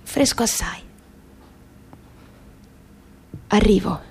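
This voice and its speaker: Musing daughter with a southern Italian accent. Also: female voice, medium pitch, moderate pace, darkish tone, breathy edge. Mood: wistful, hopeful. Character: young daughter talking tenderly to her dead mother. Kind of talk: intimate radio theatre monologue.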